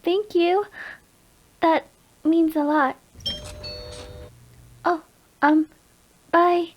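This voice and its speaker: A woman's voice: extremely high pitch, fairly even intonation, extremely slow, dull audio, slight background noise, full character voice. A woman voicing a shy, overwhelmed young alien from the countryside, hesitant and apologetic, in an audio roleplay. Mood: nervous, shy.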